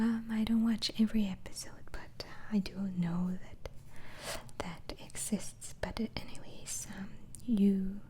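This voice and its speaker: Slow whispering woman, dark and breathy. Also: medium pitch. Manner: gentle, hesitant questioning with repeated phrases. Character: polite, patient female asylum doctor interviewing a patient. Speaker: ASMR roleplayer playing an interviewing doctor. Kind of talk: ASMR roleplay, soft whispering.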